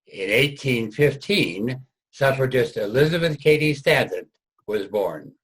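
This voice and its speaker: Husky man narrating history. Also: medium pitch, expressive, measured pace, fairly bright tone.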